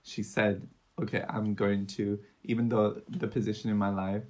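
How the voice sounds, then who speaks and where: A young woman co-hosting a casual true-crime podcast, chatty and rambling, with a low, soothing voice. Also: flat delivery, normal speed, darkish tone. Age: young adult. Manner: chatty, rambling, searches for words. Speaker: podcast co-host.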